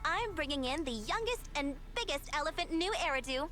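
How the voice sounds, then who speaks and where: A woman's voice: high voice, animated, a little fast. A woman voicing a brash, scheming young mercenary leader in an anime-style video game, boastful and teasing with theatrical delivery. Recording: music behind the voice. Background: background music.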